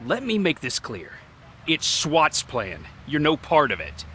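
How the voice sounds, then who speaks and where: A man's voice: a little high, huge pitch swings, measured pace, pushed and bright, a little hiss or hum, full character voice. A man playing a curt, commanding and territorial SWAT police officer in a video game.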